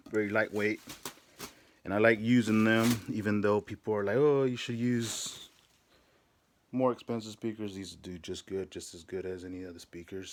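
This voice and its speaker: A man giving a casual, plain-spoken product unboxing in the informal way of a working DJ presenter. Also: lowish voice. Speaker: DJ rental business presenter.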